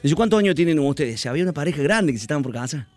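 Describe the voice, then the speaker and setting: A man's voice: on the high side, expressive, rapid-fire, darkish tone, faint music behind. Argentine man telling a chatty joke. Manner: chatty storyteller, acts out the characters' lines. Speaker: joke teller.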